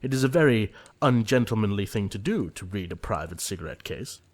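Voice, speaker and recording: A man acting an earnest, easily exasperated young English gentleman in an audio play of a period comedy, formal and emphatic. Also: fairly low pitch, expressive, brisk. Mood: huffy.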